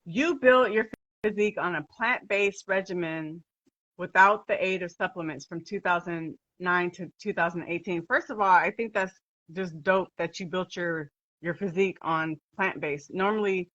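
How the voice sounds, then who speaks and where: A woman's voice: fairly low pitch, normal speed, a bit bright, no room sound, muffled recording. A woman hosting an interview, asking questions in an enthusiastic, chatty way with casual slang. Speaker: podcast host.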